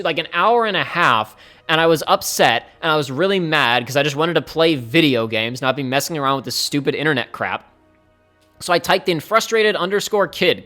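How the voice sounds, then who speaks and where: A young man with a fairly high-pitched voice, talking to his viewers in a rambling, candid way during a live stream. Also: fairly bright tone. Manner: rambling, candid, speeds up and trails off. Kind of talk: casual live-stream talk to chat.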